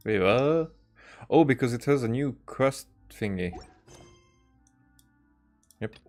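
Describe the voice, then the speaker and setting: A man giving casual, rambling game commentary as a streamer, speaking haltingly and often repeating and restarting his phrases. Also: mid-range voice, lively intonation, darkish tone, soft background music. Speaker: livestreamer playing a game.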